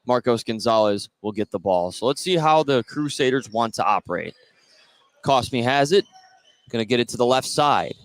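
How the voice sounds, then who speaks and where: A man's voice: medium pitch, lively intonation, moderate pace, no echo. A man doing live play-by-play commentary of a basketball game. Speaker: play-by-play announcer. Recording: live gym noise behind the voice. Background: gym ambience of a basketball game.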